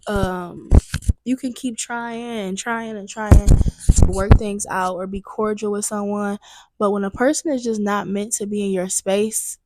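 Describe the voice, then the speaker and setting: A woman's voice: mid-range voice, fairly even intonation, unhurried, dark tone. Candid young woman venting on her podcast. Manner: candid, chatty, venting with emphatic repetition. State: slightly stuffy. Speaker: podcast host. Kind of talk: solo casual podcast talk. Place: booked studio room.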